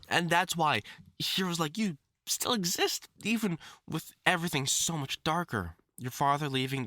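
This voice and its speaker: A man's voice: medium pitch, sharp tone, no echo, clean studio sound, natural voice. A man acting a lovesick, obsessive supervillain scientist with formal, theatrical diction in a dramatic audio roleplay monologue. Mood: anguished, bitter. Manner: formal, theatrical diction, tender endearments breaking into anguished outbursts.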